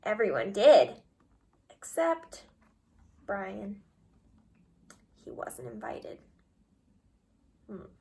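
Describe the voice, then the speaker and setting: A woman reading a children's story aloud expressively and pausing to put guiding questions to young listeners. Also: mid-range voice, huge pitch swings, vocal fry, mellow, slight background noise. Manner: slow, deliberate, asks guiding questions.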